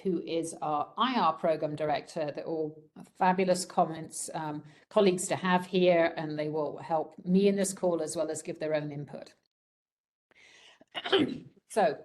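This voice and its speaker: Croaky, lowish-voiced woman presenting a webinar. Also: a little mellow. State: croaky voice, getting over a respiratory virus. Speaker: program director presenting a webinar. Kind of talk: informal slide presentation to students. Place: indoors, on a video call.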